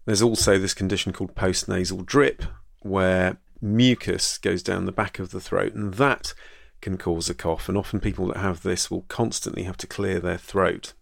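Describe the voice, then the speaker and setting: A man talks as a doctor on his own podcast. His voice sits very low with a gravelly fry, and he speaks in a relaxed, conversational way, pausing on fillers and restarting phrases. The sound is dry and close to the mic. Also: no echo. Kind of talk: solo educational podcast talk.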